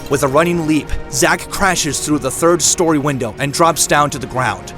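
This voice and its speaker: A man's voice: fairly high pitch, normal speed. Male narrator of an audio drama. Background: clear dramatic music.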